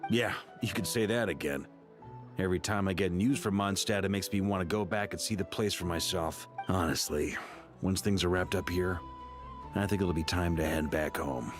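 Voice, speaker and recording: An actor playing a hearty, jokey, easygoing grandmaster in a video game. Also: male voice, sits low, moderate pace, music in the background, natural voice.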